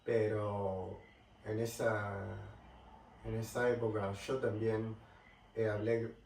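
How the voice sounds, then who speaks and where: A young man from California speaking with an Argentine accent in a casual vlog, halting and searching for words, recorded in a rental apartment. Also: fairly low pitch, flat delivery, gravelly, a little mellow, slight background noise. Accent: Argentine Spanish. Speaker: travel vlogger. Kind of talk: casual talk to camera. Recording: roomy, distant mic, faint ambience.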